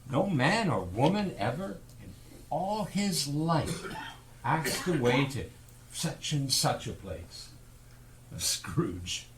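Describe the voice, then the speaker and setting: A man narrating a stage play as a storyteller, with measured phrasing and dramatic pauses. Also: a little high, sing-song. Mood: dramatic storytelling. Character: storyteller describing a cold-hearted miser. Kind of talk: theatrical scripted storytelling. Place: theatre stage, live performance. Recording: live stage recording with noise.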